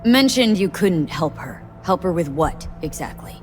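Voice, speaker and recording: A woman's voice: lowish voice, very animated, moderate pace, faint music behind. An actress voicing a tough, no-nonsense mercenary woman in a video game, speaking in clipped, interrogating lines. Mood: probing. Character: tough, blunt mercenary woman pressing for answers.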